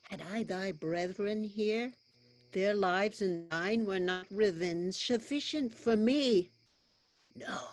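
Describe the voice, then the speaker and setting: A woman playing a ruthless, vengeful male warrior lord in a staged reading of Shakespearean verse, menacing and declamatory. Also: fairly low pitch. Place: online video call.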